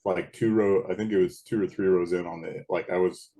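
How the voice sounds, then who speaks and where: Very deep booming man. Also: fairly even intonation, a little fast, warm tone. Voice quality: big booming voice. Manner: laid-back, rambling with restarts. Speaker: guest. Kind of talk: casual chat.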